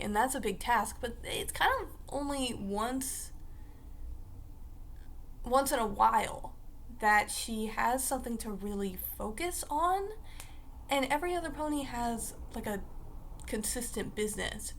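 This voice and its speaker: A young woman vlogging in a casual, rambling way, her voice raspy because she just woke up. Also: a little high, slight background noise. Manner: rambling, chatty, full of fillers like "like" and "uh". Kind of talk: casual talk to camera.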